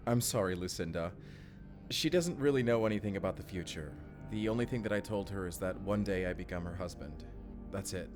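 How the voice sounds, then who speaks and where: A man's voice: low voice, a little fast, music in the background, close to an everyday voice. A man playing a bewildered, apologetic reluctant recruit in a time-travel drama, speaking informally and hesitantly. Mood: sheepish, apologetic. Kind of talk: cinematic audio roleplay acting.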